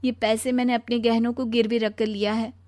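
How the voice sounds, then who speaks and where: A woman's voice: fairly high pitch, level tone, a little fast, a little mellow, close to an everyday voice. A woman voicing a demanding, coaxing young wife in a story, emphatic and cajoling. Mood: earnest, caring.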